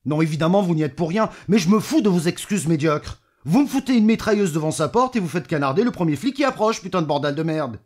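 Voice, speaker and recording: A man's voice: fairly high pitch, animated, fast, few pauses, a little mellow. An actor playing a corrupt, foul-mouthed male mayor, impatient and scornful, in an audio drama. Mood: furious. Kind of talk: audio fiction acting.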